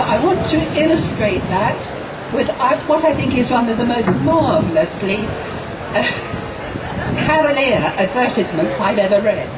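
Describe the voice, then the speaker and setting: An Englishwoman in her sixties addresses an outdoor protest rally in a slow, measured, impassioned voice pitched a little high. She is heard from a distance through an echoing PA. Background noise is clearly heard. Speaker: rally speaker.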